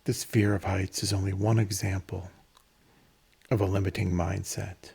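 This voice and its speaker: A man leading a guided meditation in a measured, instructional manner, pausing between phrases, with a breathy, husky voice. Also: low-pitched, level tone, warm tone.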